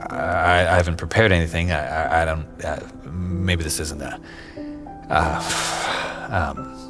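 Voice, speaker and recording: An actor playing an anguished, self-loathing married man in an audio drama, halting and emotional. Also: deep voice, steady tone, loud music behind the voice. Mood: panicked, apologetic. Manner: halting, emotional, dropping to whispers and rising to outbursts.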